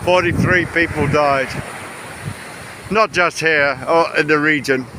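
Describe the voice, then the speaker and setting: Guy with a higher voice rambling casually on a beach walk, windy noisy outdoor audio. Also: steady tone, takes it slowly. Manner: rambling, chatty. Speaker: travel vlogger. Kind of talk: casual walking commentary. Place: outdoors walking along a beach. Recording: windy outdoor recording with surf noise. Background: wind, waves, outdoor ambience.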